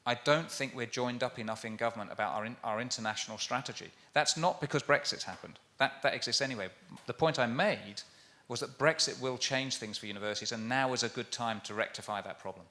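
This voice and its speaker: A man's voice: medium pitch, fast talker, bright, forceful tone. A man answering audience questions on a conference panel, earnest, with frequent restarts. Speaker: university panelist.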